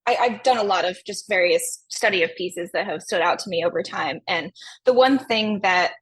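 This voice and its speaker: A woman's voice: on the low side, moderate pace, a bit bright, slight background noise, rough recording. A woman speaking as an interview guest, explaining academic ideas in a conversational way. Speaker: podcast guest, music professor.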